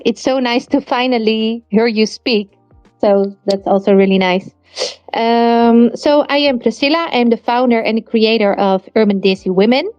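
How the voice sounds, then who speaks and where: A woman in her thirties with a Dutch accent, introducing her project as a guest on an online panel in an earnest, steady way. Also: fairly high pitch, no echo. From Netherlands. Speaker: guest, NFT project founder. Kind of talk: casual online panel talk. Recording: dull lo-fi online audio stream.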